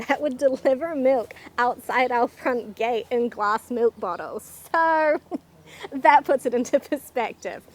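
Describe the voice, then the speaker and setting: A woman's voice: sits high, darkish tone. A middle-aged woman with a New Zealand accent, talking in a chatty, opinionated, down-to-earth way as she explains things in a casual vlog. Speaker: video host, chicken keeper.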